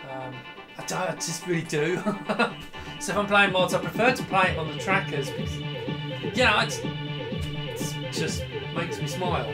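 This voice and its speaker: A man's voice: high voice, huge pitch swings, unhurried, long pauses, loud music behind the voice. A man, giving an enthusiastic, rambling, casual hands-on computer demonstration. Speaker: retro computer vlogger. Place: small indoor home computer room.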